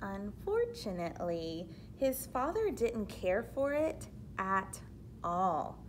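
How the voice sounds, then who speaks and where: A woman reads a children's book aloud at an unhurried pace with a lively, moving pitch. She explains things simply as she goes, like a teacher. There is clear background noise on the recording. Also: rough recording. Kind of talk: read-aloud storytelling with explanatory asides for children.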